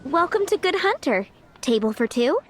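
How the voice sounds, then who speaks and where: A woman voicing a polite, brisk restaurant server in a video game, heard from a distance. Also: sits high, very animated. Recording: distant voice with ambient noise.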